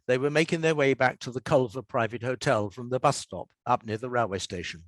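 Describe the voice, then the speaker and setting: An older man with an English accent, talking reflectively and reading aloud as an author in a measured way. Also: fairly high pitch, no room sound. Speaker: author and radio scriptwriter. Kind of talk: relaxed talk and reading aloud from own story.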